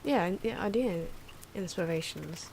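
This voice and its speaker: A soft-spoken, hesitant woman giving ASMR commentary on her own drawing, with pencil and marker noises. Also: lowish voice, expressive, measured pace, gravelly, background noise. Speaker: artist narrating own drawing video.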